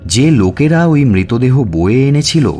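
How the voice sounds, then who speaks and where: A man narrating a scripted suspense story in a measured, expressive, dramatic way. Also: mid-range voice. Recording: music bed under narration.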